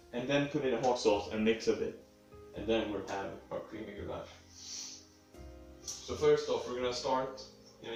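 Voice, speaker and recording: Casting: young guy, sniffly cold, rambling and crude, recorded from a distance in a very echoey kitchen. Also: medium pitch. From Sweden. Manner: rambling, offhand, swears casually. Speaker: home cooking video host. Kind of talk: casual cooking demonstration. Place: home kitchen. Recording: echoey room, mic at a distance, faint music.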